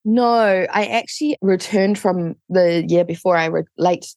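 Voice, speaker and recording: Female interview guest, New Zealand accent. Deep-set voice with vocal fry, informal and chatty. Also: unhurried, darkish tone, dry recording. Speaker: interview guest, online business coach. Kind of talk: casual interview chat.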